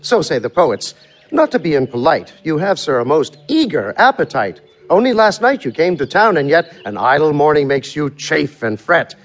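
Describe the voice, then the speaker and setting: Wily manservant for verse comedy: knowing, flourishing, higher-pitched male voice, lively swoops. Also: normal speed. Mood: teasing, boastful. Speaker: actor. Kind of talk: theatrical verse comedy performance.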